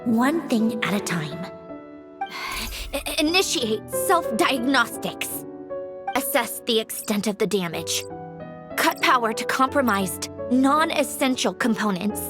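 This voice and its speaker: An actress playing a proud, sharp-tongued mechanical genius in a video game, haughty and theatrical, sardonic but secretly caring. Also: female voice, fairly high pitch, sing-song, measured pace, over music. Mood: strained, worried.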